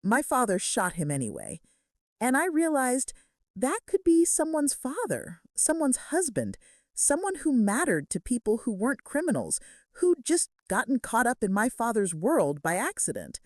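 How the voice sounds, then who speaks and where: A woman's voice: fairly high pitch, wildly swooping pitch, normal speed, no room sound, clean studio sound, voice pushed up. A woman voicing a brooding, remorseful young male mafia boss making intense, earnest confessions in a romance audiobook. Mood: haunted, remorseful.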